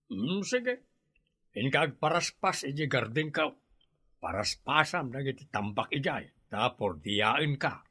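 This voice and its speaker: A man's voice: mid-range voice, sing-song, a little slow, pushed and bright, breathy edge, heavily acted. A man with a Filipino Ilocano accent playing an older, practical, obliging village employer in a radio comedy drama. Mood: businesslike, obliging.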